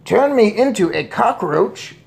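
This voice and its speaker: An irate English father from a children's story, blusters at high volume. His voice rises in pitch as he splutters pompously. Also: sing-song, moderate pace, creaky. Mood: indignant.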